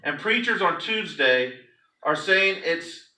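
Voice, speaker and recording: Echoey, high-pitched man giving a dramatic reading. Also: lively intonation, slow pace, pushed and bright. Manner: theatrical, emphatic storytelling with acted-out quotes. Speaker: pastor. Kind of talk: dramatic reading of a story to a congregation. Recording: echoey room, distant microphone.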